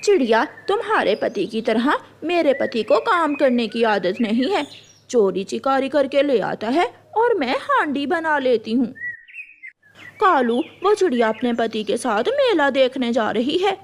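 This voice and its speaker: A woman voicing a jealous, bitter, scheming crow wife in a cartoon, sharp-tongued and complaining. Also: high-pitched, big pitch swings, measured pace, a little hiss or hum, exaggerated voice.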